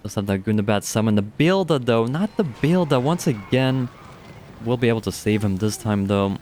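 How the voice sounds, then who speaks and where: A young man with a deep voice doing casual, chatty live commentary on a strategy game match, speaking into a studio microphone and swearing offhandedly. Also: lively intonation, normal speed, a little mellow, slight background noise. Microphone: studio dynamic microphone.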